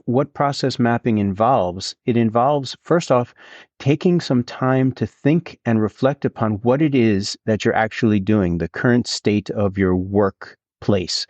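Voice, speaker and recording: A man's voice: mid-range voice, darkish tone, no room sound. Middle-aged Swedish-accented man, dry lo-fi sound. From Sweden. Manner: measured, explanatory, with fillers. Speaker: guest. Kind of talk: conversational interview. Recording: dull, slightly lo-fi sound.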